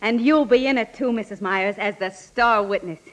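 A woman's voice: medium pitch, moderate pace, lightly acted, poor audio. Woman acting an eager young reporter. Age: young adult. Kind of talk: old-time radio drama acting.

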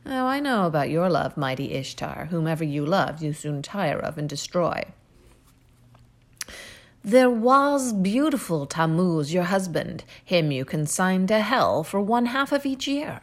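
A woman reading aloud, voicing a proud, defiant young male hero-king who declaims loudly. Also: on the low side, sing-song, measured pace. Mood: scornful.

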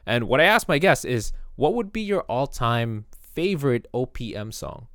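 A man hosting a podcast, talking casually in a halting way with many restarts. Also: mid-range voice, lively intonation, a bit bright, no room sound, studio quality. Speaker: podcast host. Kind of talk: casual chat.

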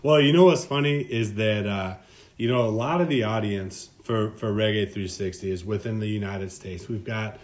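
A man's voice: on the low side, moderate pace. A man hosting a casual interview, effusive and slangy, asking long winding questions and calling his guest "man". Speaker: podcast host.

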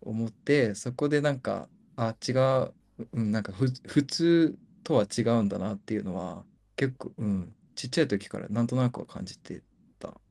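A man's voice: medium pitch, fast, creaky. A young man and co-host, a native Japanese speaker, talking thoughtfully in English and Japanese in a casual chat. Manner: soft, thoughtful, switches between English and Japanese.

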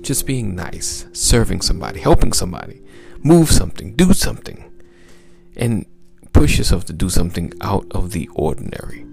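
A man with a very low voice that is heavy with gravel and fry talks as a mindset coach on his podcast. His delivery is smooth and encouraging, built on repeated exhortations. A faint bed of music plays underneath. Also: very animated, normal speed, a little mellow. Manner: upbeat, repetitive coaching exhortations. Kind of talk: motivational podcast talk.

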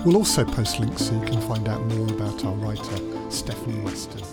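A man's voice: on the low side, fairly quick. A man reading a scripted announcement as a podcast credits announcer. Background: prominent music.

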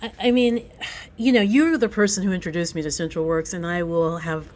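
A woman's voice: on the low side, fairly even intonation, brisk, a little mellow. A woman speaking as a playwright guest in a conversational interview, earnest and reflective about her work.

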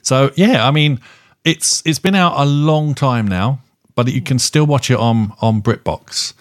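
A man co-hosting a podcast, chatting casually through a review in a halting way, often correcting himself. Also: medium pitch, no echo, clean studio sound. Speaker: podcast co-host.

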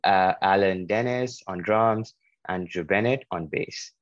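A man hosting a casual podcast interview, often halting and restarting his words. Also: low voice, no echo, dull audio. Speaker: podcast host.

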